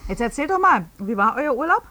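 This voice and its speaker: A woman's voice: high voice, big pitch swings, fairly quick, sharp tone, exaggerated voice. An actress playing an insistent woman in radio play dialogue and reportage. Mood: curious, insistent. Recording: clear noise and clicks behind voice.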